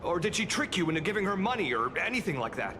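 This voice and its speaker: Flustered, high-voiced young agent. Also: male voice, fast, background noise. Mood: alarmed, fretful. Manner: fretful, excitable, deferential. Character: flustered, eager, anxious male company agent. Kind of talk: animated video game character dialogue.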